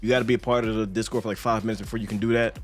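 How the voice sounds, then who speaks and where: A man's voice: fairly low pitch, steady tone, very fast. A man hosting a casual livestream podcast, joking and swearing as he chats. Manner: joking, profane banter. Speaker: podcast host. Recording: music playing behind. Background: background music.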